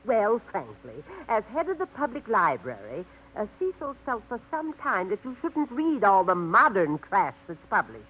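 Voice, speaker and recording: A woman's voice: mid-range voice, huge pitch swings, moderate pace, slight background noise. An actress playing a prim, fussy middle-aged woman in a 1950s radio drama. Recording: tinny old radio broadcast.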